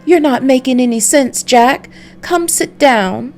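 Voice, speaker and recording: Woman voicing a higher-pitched, seductive, sly succubus. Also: measured pace, soft background music. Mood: coaxing. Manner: theatrical, taunting. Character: seductive female succubus, sly, unrepentant, coolly menacing. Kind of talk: visual novel character read aloud.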